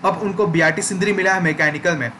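Young male tutor, bright forceful tone, slightly high voice, walks through a process step by step. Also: fairly even intonation, fast, a little hiss or hum. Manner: explanatory, repeats "ठीक है" check-ins. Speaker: education channel host. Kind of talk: screen-recorded tutorial walkthrough.

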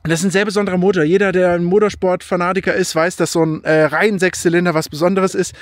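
A young man with a high voice chatting excitedly about his car outdoors. He thinks out loud, loses his thread and corrects himself as he goes. The sound is dry and close, picked up by a handheld phone. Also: quick pace, no echo. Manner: enthusiastic, rambling, thinks aloud and corrects himself. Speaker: car vlogger. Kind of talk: casual car review vlog. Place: outdoors by a car in a snowy field.

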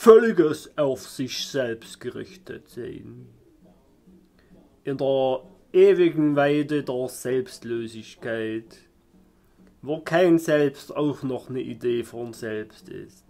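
A man in his thirties improvising a philosophical monologue to camera in a halting, contemplative manner, recorded indoors in a small room. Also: mid-range voice, lively intonation, vocal fry, warm tone, soft background music. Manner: halting, contemplative, with long pauses. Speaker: vlogger musing on spirituality.

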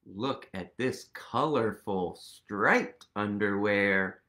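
A man in his thirties reading a picture book aloud to young children, expressive and full of questions for his listeners. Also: on the low side, very slow. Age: 30s. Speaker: host, museum literacy educator reading aloud. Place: indoors, small quiet room at home.

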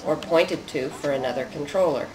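A narrator reading a scripted research demonstration in a measured, explanatory way. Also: mid-range voice, a loud crowd. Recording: roomy echo with noticeable background noise.

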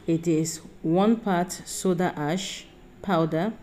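A low-voiced woman, a soap-making host, talks through her recipe in a warm, unhurried tutorial voice-over. Her delivery rambles, with frequent fillers and corrections as she explains each step. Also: a little hiss or hum.

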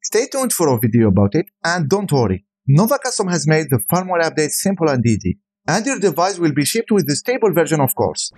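A man's voice: on the high side, big pitch swings, brisk, few pauses, darkish tone. A man who is a native Arabic speaker, giving a scripted voice-over product review as a tech reviewer.

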